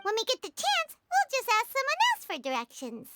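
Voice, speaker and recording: A woman voicing a tiny, excitable fairy companion in a video game, with a squeaky childlike voice and a chatty, exclamatory manner. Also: a little fast, over loud music, heavily acted. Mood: determined. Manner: exclamatory, refers to herself in third person. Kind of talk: animated video game voice acting.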